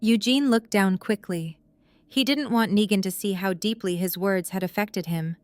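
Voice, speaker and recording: Clear, smooth woman narrating a story. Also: medium pitch, moderate pace, no room sound. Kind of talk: scripted dramatic narration of fan fiction.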